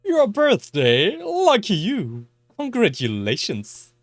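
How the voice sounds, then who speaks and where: A performer voicing an eerie, playful attendant in a visual novel, coy and knowing, with teasing, drawn-out exclamations. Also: medium pitch, huge pitch swings, dry recording, voice pushed up, heavily acted.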